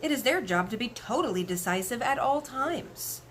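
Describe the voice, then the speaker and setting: Female story reader: expressive, animated intonation, children's read-aloud style, faint background noise. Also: a little high, normal speed.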